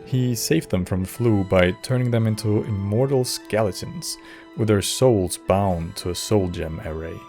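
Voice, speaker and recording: A man's voice: lowish voice, measured pace, darkish tone, faint music behind. A man narrating as host of a video essay, scripted with casual asides.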